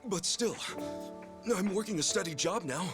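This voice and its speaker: An actor playing an earnest, bumbling, excitable ex-yakuza in a video game. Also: male voice, high-pitched, moderate pace, over music, lightly acted. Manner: bumbling, hesitant, exuberant when excited.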